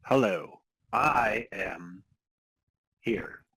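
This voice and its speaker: A man with a somewhat low, husky voice co-hosts a podcast. He jokes casually in a mock-serious way, and the recording is dry and close. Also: lively intonation, extremely slow, sharp tone, dry recording. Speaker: podcast co-host. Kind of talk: casual comic banter.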